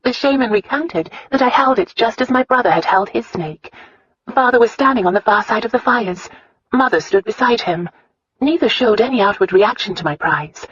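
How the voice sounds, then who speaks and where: Spirited, candid young romance heroine, played by a woman. High, husky voice, earnest delivery, dull roomless sound. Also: lively intonation, normal speed, no room sound. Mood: fond, animated. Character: spirited, candid young wife telling childhood tales. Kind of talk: romance audiobook dialogue.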